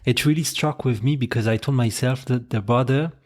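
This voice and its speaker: A man's voice: medium pitch, fairly quick, darkish tone. A man hosting an interview podcast, respectful and polite, asking long rambling questions. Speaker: podcast host. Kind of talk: interview host.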